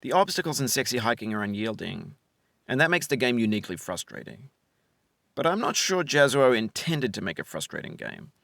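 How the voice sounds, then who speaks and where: A man giving reflective scripted narration as a game developer, in a measured, articulate, philosophical manner. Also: medium pitch, sing-song, creaky, fairly bright tone, no echo, clean studio sound.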